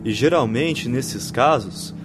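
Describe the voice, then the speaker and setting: An actor playing a young spirit missionary in a radio drama, explaining things patiently and formally. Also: male voice, mid-range voice, takes it slowly, background noise, close to an everyday voice. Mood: solemn, earnest. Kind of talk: radio drama acting, formal didactic delivery. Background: faint background music.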